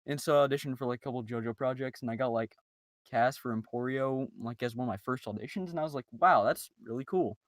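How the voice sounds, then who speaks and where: A man's voice: medium pitch, quick pace, no room sound. A man talking casually on a group podcast in an informal, chatty, emphatic way. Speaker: podcast member, amateur voice actor.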